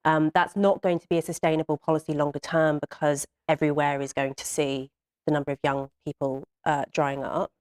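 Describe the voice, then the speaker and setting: Low-voiced woman, casual panel talk. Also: flat delivery, darkish tone. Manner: quick, casual and chatty. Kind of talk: panel discussion.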